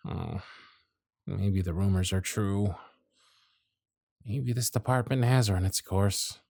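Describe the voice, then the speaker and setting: A man's voice: sits low, slow, vocal fry, darkish tone, no echo, clean studio sound, heavily acted. A man voicing a harried, grumpy male elf supervisor in an audio roleplay, sarcastic and scolding. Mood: glum. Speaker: workshop supervisor.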